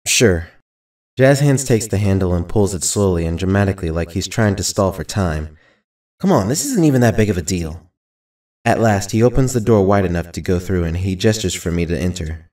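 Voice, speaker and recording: A man's voice: deep voice, expressive, a little fast, a little mellow. A man playing a grumbling, introverted new student who narrates a visual novel dryly, sounding distant under music.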